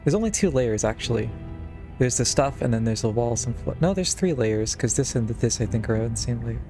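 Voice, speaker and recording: A man's voice: mid-range voice, lively intonation, fairly quick. A man streaming a game with casual, reactive commentary, musing and thinking aloud as he plays. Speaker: streamer playing a visual novel. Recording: loud game music behind.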